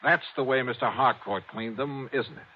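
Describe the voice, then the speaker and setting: An American man playing a shrewd, wry, hardboiled insurance investigator in an old radio drama, brisk, clipped and confident. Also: medium pitch, breathy edge, lightly acted. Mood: triumphant, accusing. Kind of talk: old-time radio detective drama acting. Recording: dull, noisy vintage radio recording.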